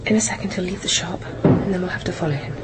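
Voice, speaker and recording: Actress as quick-talking, wary British mother. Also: lowish voice, noisy background, close to an everyday voice. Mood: tense, coaxing. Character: brisk, wary British housewife and mother, quietly daring. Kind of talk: radio drama acting.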